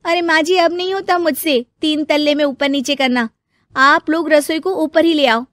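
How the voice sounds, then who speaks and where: A young, overworked daughter-in-law played by a woman in a cartoon moral story. Her voice is very high and sounds close and dry. She talks in a chatty, exclaiming, homely way. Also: steady tone, moderate pace, a bit bright, dry recording. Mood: complaining, defiant. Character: young overworked daughter-in-law, eager then weary and grumbling.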